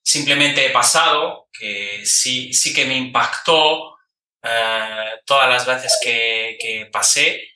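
Man, podcast host, very bright sharp voice, echoey room, mic set back from him. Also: mid-range voice, slow pace, recorded from a distance. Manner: relaxed, thoughtful, frequent hesitations. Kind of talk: casual conversational interview.

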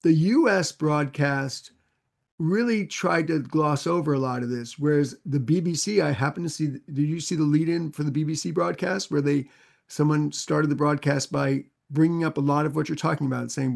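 Gravelly, hesitant male podcast co-host. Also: a little high, moderate pace. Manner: hesitant, many fillers and restarts, asks questions. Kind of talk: casual chat.

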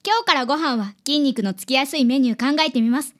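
Actress as young big sister client, high voice, polite n sincere, devoted, radio drama. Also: female voice, quick pace, close to an everyday voice. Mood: moved, grateful.

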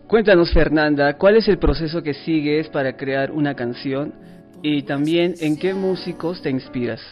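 Higher-voiced man interviewing, dull sound. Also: moderate pace, soft background music. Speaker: podcast interviewer. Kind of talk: conversational interview questions.

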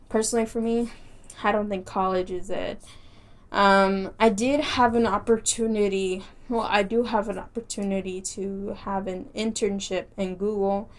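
A young woman hosting her own podcast, speaking with a light Texas country accent. She rambles in a casual, chatty way, laughing easily and circling back with plenty of fillers and restarts. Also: medium pitch. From Texas, United States. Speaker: podcast host. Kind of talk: casual solo podcast chat.

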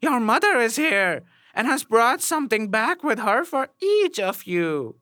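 A man voicing a sly storybook wolf who poses as a mother goat, coaxing in a falsely sweet, drawn-out way. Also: very high voice, big pitch swings, sharp tone, no echo, studio quality, pitched above the performer's own voice, heavily acted.

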